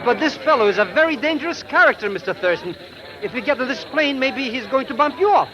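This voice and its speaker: An actor playing a nervous, cowardly, money-minded sidekick in an old radio drama, stammering and flustered with oddly formal phrasing. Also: male voice, very high-pitched, lively intonation, fast. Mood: anxious, cowardly. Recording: muffled, noisy vintage radio recording.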